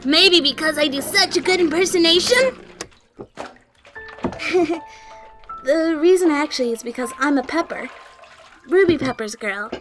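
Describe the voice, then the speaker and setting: A woman playing a plucky, chatty young cat woman in an audio drama, squeaky and eager, rambling a little. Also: measured pace, pauses often, fairly bright tone, faint music behind.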